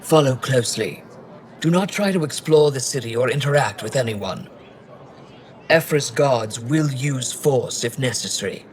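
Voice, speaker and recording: A man's voice: medium pitch, lively intonation, lightly acted. A man voicing a composed, guarded alien governor in a video game, speaking formally and curtly. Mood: stern. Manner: formal, measured, curt.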